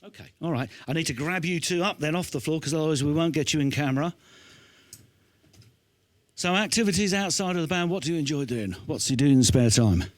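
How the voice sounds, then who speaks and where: Higher-pitched man, chatty interviewer. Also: a little fast, no echo. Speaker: host interviewing a young band. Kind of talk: casual on-stage interview. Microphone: handheld microphone.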